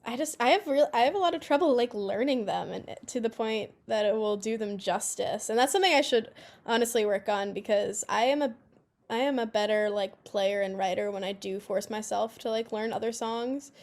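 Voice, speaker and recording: A young woman talking as a guest over a video call, her voice pitched a little high. She rambles chattily, leaning on constant fillers and breaking into laughs. It sounds like a relaxed, unscripted chat. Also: a little fast. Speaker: singer, interview guest. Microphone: video call, phone.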